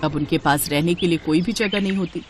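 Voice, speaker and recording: A woman's voice: fairly low pitch, fairly quick, noisy background. A woman reading a scripted moral story as its narrator.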